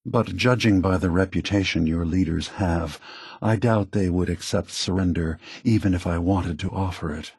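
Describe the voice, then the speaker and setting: An actor playing an aging prototype android leader in a video game, a gentle, philosophical idealist with a soft, husky voice and measured, earnest phrasing. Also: male voice, low-pitched, no echo, clean studio sound. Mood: firm, resolute. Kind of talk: acted video game dialogue.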